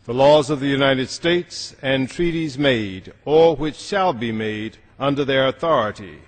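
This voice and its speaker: A man's voice: medium pitch, unhurried. Man reading formally in a reverberant hall. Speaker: legislator reading a legal text aloud. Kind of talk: formal read-aloud. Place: large indoor chamber. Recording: reverberant large legislative chamber.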